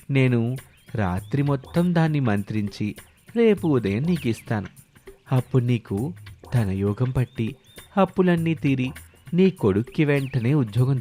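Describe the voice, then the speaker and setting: A man's voice: medium pitch, dark tone, close to an everyday voice. A man voicing a sly swindler posing as a holy man, solemn and coaxing, in a moral story. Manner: solemn, pronouncing, coaxing with promises and warnings. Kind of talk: animated moral story dialogue.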